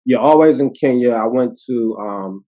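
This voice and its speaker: A man in his fifties with an American accent, telling stories as an interview guest, animated and laughing, with many fillers. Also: mid-range voice, moderate pace. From United States. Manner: animated, laughing, acting out quoted voices, many fillers and restarts. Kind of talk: casual storytelling conversation. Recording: dull lo-fi audio.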